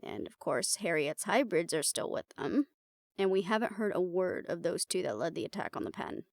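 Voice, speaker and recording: Woman acting weary, uptight woman with creaky voice. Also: fairly low pitch, moderate pace, dry recording. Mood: frustrated. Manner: dry, deadpan, exasperated. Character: uptight, weary, bespectacled woman in a supernatural research team. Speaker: voice actor in an audio roleplay. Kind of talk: fantasy audio drama roleplay, conversational acting.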